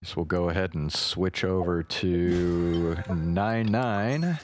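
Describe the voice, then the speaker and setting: A man livestreaming games in casual, laid-back chat with a halting delivery. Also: low-pitched, slight background noise. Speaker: game livestreamer. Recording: game music behind voice.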